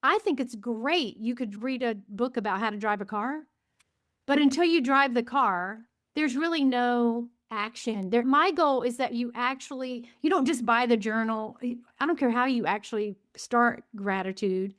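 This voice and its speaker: Lively higher-voiced woman in her fifties. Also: dry recording, studio quality. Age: 50s. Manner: enthusiastic storyteller, quotes herself often, speeds up and slows down. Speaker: guest, gratitude coach and author. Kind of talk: conversational podcast interview.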